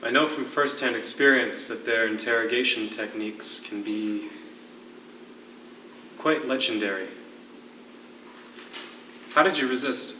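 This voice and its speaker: Distant, very sharp-voiced sardonic male prisoner. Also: medium pitch, unhurried, pauses often. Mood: grave. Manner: wry, hesitant asides, theatrical emphasis. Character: sardonic, teasing fellow prisoner with a curious streak. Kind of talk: theatrical stage play acting. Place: theatre stage, live performance. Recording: echoey stage recording, distant, faint noise.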